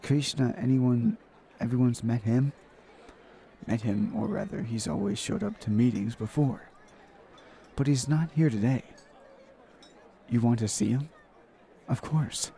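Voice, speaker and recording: A man hosting a gaming video, reading a visual novel aloud in a halting way, stumbling and repeating phrases. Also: mid-range voice, vocal fry, mellow.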